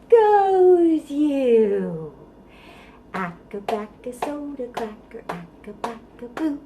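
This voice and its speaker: A woman's voice: on the high side, recorded from a distance, noisy background. A woman leading a storytime for babies and toddlers, encouraging and playful, giving tips and chanting sing-song rhymes. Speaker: children's librarian leading storytime.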